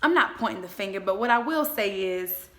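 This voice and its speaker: A woman in her twenties giving a motivational faith talk, speaking in an emphatic, exhorting way with deliberate repetition. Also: mid-range voice, lively intonation, fairly bright tone. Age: 20s.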